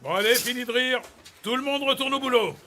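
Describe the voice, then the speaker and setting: An actor playing a brisk, bossy office boss in an animated comedy, commanding and theatrical. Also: male voice, very high voice, slight background noise.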